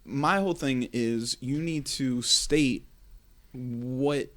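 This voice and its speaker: A man's voice: mid-range voice, slow pace, fairly bright tone. A man co-hosting a casual podcast who talks in a laid-back way, pushes back on his partner, and often trails off. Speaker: podcast co-host. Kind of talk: casual hip hop debate.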